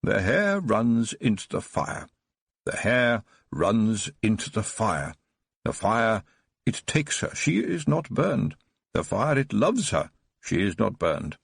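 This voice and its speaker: A man's voice: fairly low pitch, gravelly, husky, dry recording, studio quality, exaggerated voice. A male narrator voicing a frail, elderly nobleman who recalls his childhood with trembling wonder, in a storybook reading. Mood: dreamy. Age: older. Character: frail old man, tearful then joyful, noble.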